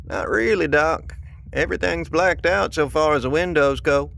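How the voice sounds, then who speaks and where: An actor playing a folksy, plain-spoken survivor who is protective of others, in a naturalistic audio drama. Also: male voice, a little high, fairly bright tone. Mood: weary, easygoing. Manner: folksy, colloquial, drawn-out reassurance. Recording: clearly noisy background ambience.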